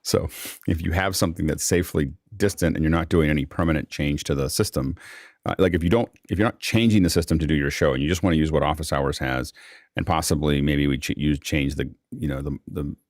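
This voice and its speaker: A man's voice: a bass voice, quick pace, a little mellow, no room sound, studio quality. A man hosting a live online panel in casual talk, thinking aloud in a rambling way and often repeating words. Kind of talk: casual spoken discussion. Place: remote video call.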